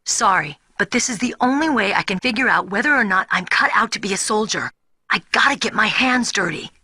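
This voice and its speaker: An actress playing a young rookie soldier in a video game, headstrong and earnest, with a vulnerable streak. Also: female voice, mid-range voice, expressive, fairly quick, pushed and bright, husky. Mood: confident, determined. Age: young adult. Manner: earnest, defiant. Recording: music behind the voice.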